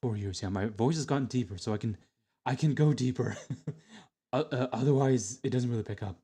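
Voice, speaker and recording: A man's voice: mid-range voice, a little fast, dark tone, husky. A young adult man streaming, chatting casually with lots of fillers and restarts as he looks back on old videos. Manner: rambling, many fillers and restarts.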